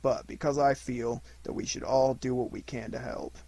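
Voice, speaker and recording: A man voicing a gloomy, self-important old donkey with a slow, ponderous, grumbling delivery in a storybook reading. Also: mid-range voice, level tone, warm tone, lightly acted. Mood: pompous.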